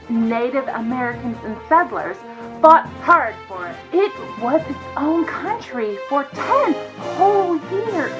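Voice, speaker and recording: A woman's voice: high-pitched, poor audio. A woman voicing a proud, defensive Texan fashion writer with a Texan accent, in an exaggerated dramatic comic reading. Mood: indignant pride.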